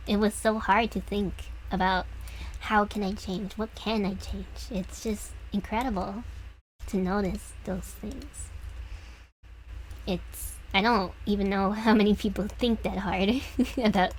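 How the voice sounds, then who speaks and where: Soft, hesitant young woman streamer. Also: a little hiss or hum, poor audio. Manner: soft, hesitant, trailing off with restarts; speaking lower and quieter than usual. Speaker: streamer drawing commissions. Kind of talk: casual reflective chat while drawing.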